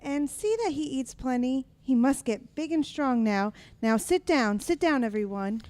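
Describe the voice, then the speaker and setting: A woman performs a loving but outspoken mother in an old-time radio drama. The voice sits somewhat high. The delivery is fussing and plain-spoken, that of someone running a poor household. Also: moderate pace, a little mellow, close to an everyday voice. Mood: bustling.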